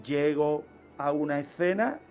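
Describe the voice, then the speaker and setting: A man's voice: on the high side, a little slow, darkish tone, muffled recording, faint music behind. A man with an accent from Spain explaining as a guest expert on a radio show, asking questions and answering them himself. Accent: Spanish, from Spain. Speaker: criminologist guest.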